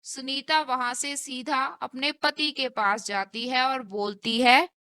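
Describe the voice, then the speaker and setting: A woman's voice: high voice, fairly even intonation, slow pace, pushed and bright, clear, resonant tone, no echo. A woman narrating a scripted cartoon story.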